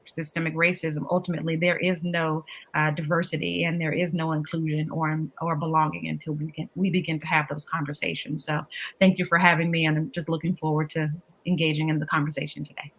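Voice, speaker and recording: A woman in her fifties introducing herself on a panel, earnest and measured, with many fillers. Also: deep voice, flat delivery, low-quality recording.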